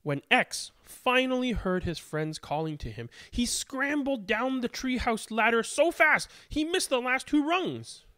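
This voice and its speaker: A storybook reader telling a children's picture book in an expressive, deliberate way with emphatic word stress. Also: mid-range voice, dry recording, studio quality.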